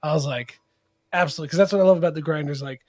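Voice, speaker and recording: Enthusiastic, higher-voiced man guest. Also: racing, a little mellow, no room sound, clean studio sound. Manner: enthusiastic, rambling storyteller. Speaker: sponsor guest. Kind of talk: casual chat.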